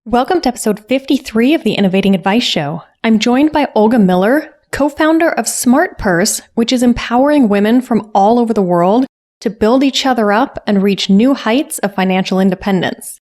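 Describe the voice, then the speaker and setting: A woman's voice: fairly high pitch, expressive, normal speed, darkish tone. A woman hosting a podcast, reading an upbeat intro and asking questions with enthusiasm. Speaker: podcast host. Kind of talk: scripted intro and interview.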